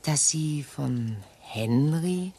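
Low, slow-spoken ageing widow. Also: female voice, animated, dark tone, husky, full character voice. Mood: wistful, musing. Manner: halting, dramatic pauses. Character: shaken, ageing English widow, wistful and rattled. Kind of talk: German radio crime drama acting.

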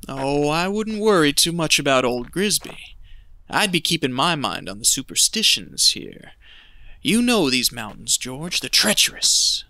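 A man's voice: on the high side, very animated, measured pace, sharp tone, exaggerated voice. An actor playing a cold, scheming male gold prospector in an audio drama, talking in a folksy, ominous drawl. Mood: menacing.

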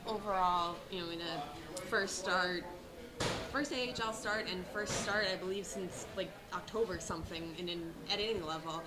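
A woman's voice: on the low side, normal speed, over a noisy crowd. A woman reporter asking a coach loosely phrased, conversational questions after a game. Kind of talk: postgame press questions.

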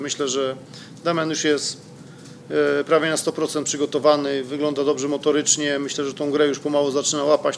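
Gravelly middle-aged coach at a press table. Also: male voice, fairly high pitch, slight background noise. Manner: hesitant, many fillers and restarts. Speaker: football coach. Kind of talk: press conference answers. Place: press room in front of a sponsor wall. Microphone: desk microphone.

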